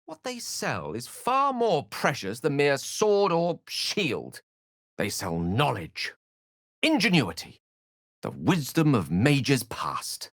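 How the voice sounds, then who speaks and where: An actor playing a learned, wry wizard adventurer in a video game, with a British accent and an eloquent, theatrical way of talking. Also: male voice, medium pitch, huge pitch swings, takes it slowly, a bit bright, dry recording, studio quality, exaggerated voice. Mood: earnest, grave. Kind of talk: video game character voice acting.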